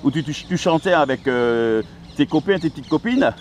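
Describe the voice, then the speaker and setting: A man with a somewhat high voice hosts an interview outdoors in a park, halting often on fillers. Faint traffic and birdsong sit behind him. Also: animated. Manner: friendly, halting with frequent "euh" fillers. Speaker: interviewer and host. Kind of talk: casual interview. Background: faint outdoor ambience with birds and traffic.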